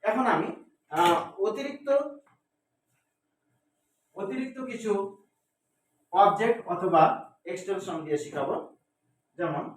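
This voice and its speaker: High-pitched male teacher in echoey room. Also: animated, a little slow, pauses often, slight background noise. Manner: didactic, asks and answers own questions, repeats key words. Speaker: English grammar teacher. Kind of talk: step-by-step classroom lesson. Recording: very echoey room, distant microphone.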